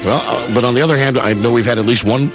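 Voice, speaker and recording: A man hosting a radio show with casual, bossy, mock-gruff comic banter. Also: medium pitch, fast talker. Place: radio studio. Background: music.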